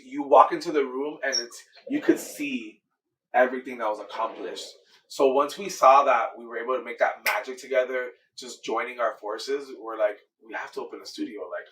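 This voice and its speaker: An earnest man in his thirties from Miami talks about his work with easy enthusiasm and often circles back on his own words. His voice sits at a middle pitch. He is caught from a little way off the mic. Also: fairly bright tone, distant mic, slight background noise, rough recording. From Miami, Florida, USA. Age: 30s. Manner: earnest, enthusiastic, repeats himself. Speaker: dancer and choreographer, guest. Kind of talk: casual interview answers.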